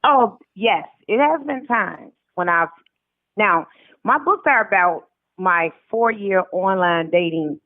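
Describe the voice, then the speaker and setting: A woman chatting casually as an interview guest, agreeable and quick to interject. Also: mid-range voice, lively intonation, dry recording. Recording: thin, tinny phone-like line.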